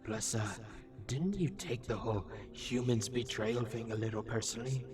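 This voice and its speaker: Male actor as lonely robot scout: mid-pitched, hesitant, rambling, eager to please; comic dub under prominent music. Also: steady tone, moderate pace, natural voice. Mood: nervous, questioning.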